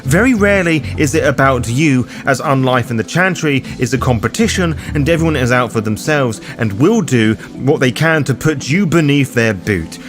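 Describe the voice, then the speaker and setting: A man's voice: medium pitch, expressive, moderate pace, hardly any pauses. Man narrating a lore guide over prominent music. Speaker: host and narrator of a roleplaying guide. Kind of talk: scripted lore narration addressed to the listener. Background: background music under the voice.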